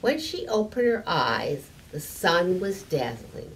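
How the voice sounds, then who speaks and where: Slow, lilting elderly woman reading a storybook. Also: on the low side, very animated, a little hiss or hum, poor audio. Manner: halting, stumbling and repeating words. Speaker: story time reader. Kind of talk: reading a children's storybook aloud. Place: library sitting area indoors.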